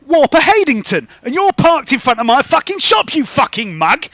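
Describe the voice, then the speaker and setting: Man putting on a high-pitched blustering shopkeeper. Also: big pitch swings, moderate pace, a bit bright, no echo, exaggerated voice. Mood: angry, indignant. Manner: indignant, blustering, sweary. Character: aggressive, foul-mouthed male shopkeeper, shouting and matey.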